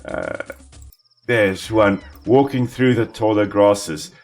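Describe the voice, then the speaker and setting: A man, an Afrikaans speaker, calmly explaining ecology while playing a simulation game, with small restarts. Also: lowish voice, slow pace, faint music behind. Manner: explanatory, calm, with small self-corrections. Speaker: ecologist gaming host. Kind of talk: educational let's-play commentary.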